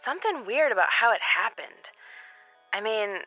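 A woman's voice: fairly high pitch, lively intonation, moderate pace, dry recording, lo-fi sound, faint music behind, close to an everyday voice. An actress playing a sassy, affectionate woman friend with casual, slangy speech, in a naturalistic audio drama. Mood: hushed, speculative.

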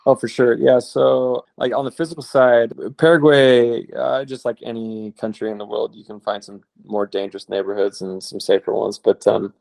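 A man in his late thirties, a returned missionary, telling stories in a relaxed, rambling interview style. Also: medium pitch, moderate pace, gravelly, hardly any pauses, dry recording. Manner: relaxed, rambling with fillers and restarts. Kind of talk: conversational interview storytelling.

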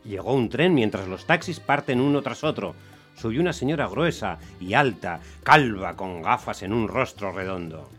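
Man acting an animated, hot-tempered cab driver. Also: on the low side, moderate pace, breathy edge, faint music behind. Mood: grumbling narration. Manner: animated, exasperated, sardonic. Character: hot-tempered, grumbling male Roman taxi driver telling his story. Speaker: narrator and lead character. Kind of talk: dramatised first-person radio storytelling.

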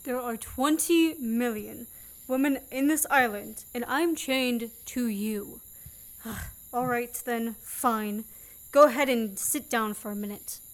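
A woman's voice: a little high, takes it slowly, poor audio. A young woman playing a sardonic, commanding man on the run in a student radio play. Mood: exasperated. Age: young adult. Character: fugitive man, sardonic, bossy, wrongly accused.

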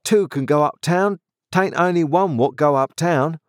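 A man voicing a sullen, insolent journeyman in his twenties, growling gruffly in rustic working-class speech for a storybook. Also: on the high side, measured pace, no room sound, studio quality, voice pushed up, close to an everyday voice. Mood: aggrieved. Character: surly sullen journeyman blacksmith, about twenty-five, resentful and insolent.

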